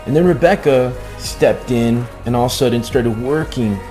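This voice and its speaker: A man's voice: medium pitch, moderate pace, dark tone, faint music behind. A middle-aged man hosting a casual vlog chat, emphatic and often restating his points. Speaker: host of a couple's book-review vlog.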